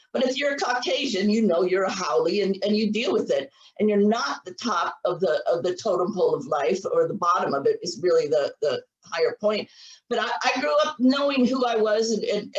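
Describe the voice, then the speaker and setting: Woman, 60s, university biology lecturer on a video call; earnest, halting delivery; roomy echo, distant mic. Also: mid-range voice. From United States. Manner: earnest, many restarts and repeated words. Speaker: biology professor giving a lecture. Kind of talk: virtual lecture with slides. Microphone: computer mic on a video call. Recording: echoey room, voice a little far from the mic.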